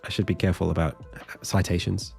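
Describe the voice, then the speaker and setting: Man, low croaky voice, tired, casual streamer, many fillers and restarts, faint music behind. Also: level tone, fairly quick, a little mellow. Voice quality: deep, croaky voice. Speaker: indie game developer streaming. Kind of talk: casual live stream talk.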